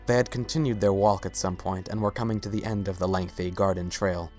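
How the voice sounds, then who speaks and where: A man's voice: low voice, steady tone, a little fast, a little mellow, faint music behind. A man narrating a scripted story as a dramatic reading.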